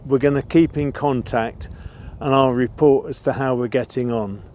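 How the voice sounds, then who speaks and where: A man giving an informal gear review, explaining things in a measured, careful way. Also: mid-range voice, fairly even intonation, darkish tone, a little hiss or hum. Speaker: presenter reviewing and testing gear. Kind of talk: informal product review commentary.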